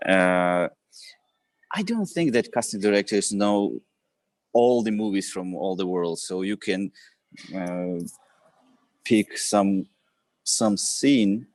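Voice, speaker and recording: Ukrainian guy on a video call, slow and kinda low, lots of uhs, dry sound. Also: expressive, no room sound. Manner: hesitant, many fillers and restarts. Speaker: actor and writer guest. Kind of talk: live interview chat.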